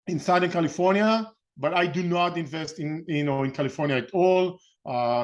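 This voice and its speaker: A middle-aged man with an Israeli accent presents an informal webinar as its host, in a halting, rambling way, often rephrasing mid-sentence. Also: on the high side, lo-fi sound. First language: Hebrew. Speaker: webinar host and real estate investment coach.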